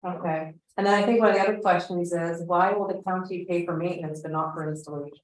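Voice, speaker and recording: A woman's voice: on the low side, steady tone, quick pace. A woman speaking informally as a council member, putting questions to officials at a meeting. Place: meeting room heard over a video meeting. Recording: very echoey meeting room.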